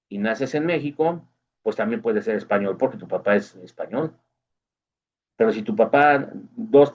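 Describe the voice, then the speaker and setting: Guy rambling through an explanation, mid pitch, kinda dull muffled audio. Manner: explanatory, asks "¿no?" often. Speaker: host. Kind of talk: casual opinion monologue. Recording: dull lo-fi recording.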